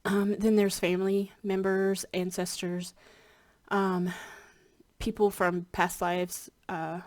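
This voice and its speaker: A woman's voice: mid-range voice, flat delivery, slow, a little mellow. A woman, a spiritual hypnotherapy practitioner, chats casually to her viewers, a little congested with a slightly scratchy voice, rambling with many ums and restarts. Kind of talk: casual chat to camera.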